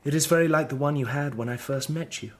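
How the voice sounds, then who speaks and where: An actor with an English accent playing an earnest, devoted young Victorian art teacher in an audio drama, speaking in literary phrases. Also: male voice, fairly high pitch, fast talker, lightly acted. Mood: tender, coaxing. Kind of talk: audio drama narration and acting.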